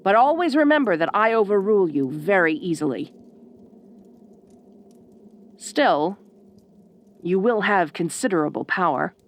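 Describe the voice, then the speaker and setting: Woman acting a slow, haughty ice queen. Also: mid-range voice, animated. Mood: commanding, guarded. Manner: imperious, formal, drawn-out condescending phrasing. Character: bossy, haughty ice queen, proud and secretly fond. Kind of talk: audio roleplay acting addressed to the listener.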